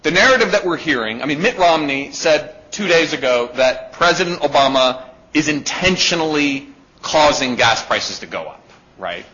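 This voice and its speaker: A man with a loud voice giving a live talk to an audience, emphatic and peppering his points with "right?". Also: fairly high pitch, lively intonation, normal speed. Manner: emphatic, with rhetorical "right?" checks. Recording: dull lo-fi recording.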